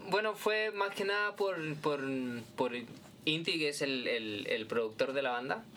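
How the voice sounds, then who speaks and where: A man's voice: a little high, a little slow, pushed and bright, a little hiss or hum. A man from Chile, talking casually as an interview guest and repeating words as he searches for phrasing. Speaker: singer, band guest.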